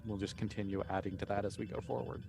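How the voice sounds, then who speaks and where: A man chatting casually on a panel video call, musing in a measured, slightly hesitant way. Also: fairly low pitch, fairly even intonation, gravelly, a little mellow, soft background music. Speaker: cast member on panel. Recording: noisy video call audio.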